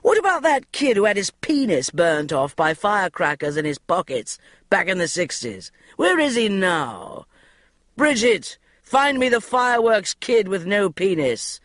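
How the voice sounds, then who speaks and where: A woman voicing a brash, crude male TV boss who yells his orders. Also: medium pitch, sing-song, measured pace, no echo, clean studio sound, voice pushed up. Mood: bellowing. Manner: yelling, brusque and crude.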